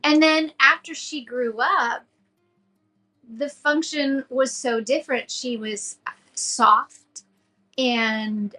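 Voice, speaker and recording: A woman's voice: high-pitched, fairly even intonation, slow pace, bright, forceful tone, soft background music, rough recording. A woman voice actor chatting about her work in an interview, explaining with easy, chatty detail. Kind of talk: interview recollection.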